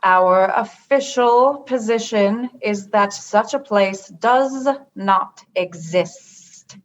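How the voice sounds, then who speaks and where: A woman playing a stern, cryptic alien religious and political leader in a sci-fi radio comedy, speaking formally and literally, with measured delivery. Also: mid-range voice, lo-fi sound. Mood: cryptic, unbending.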